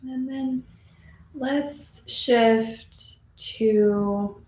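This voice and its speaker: Female meditation teacher, soothing and slow-paced, leaving long gaps, recorded in a roomy space. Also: a little high, fairly even intonation, a little mellow. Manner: soothing, unhurried, with long pauses. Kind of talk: guided meditation, gently spoken and unscripted. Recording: roomy echo, faint background noise.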